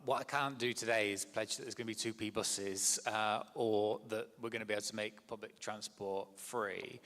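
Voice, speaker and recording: A man speaking earnestly on a symposium panel, in a steady way with some hesitations. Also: fairly low pitch, gravelly, big-room sound.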